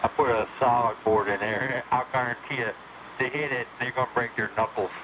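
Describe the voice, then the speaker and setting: Clear static surrounds an American man talking on CB radio, his sound dulled and lo-fi. He chats casually and plainly, halting and repeating himself as he goes. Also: medium pitch, fairly even intonation. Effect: CB single-sideband radio. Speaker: amateur CB radio operator. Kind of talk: casual local-news chat.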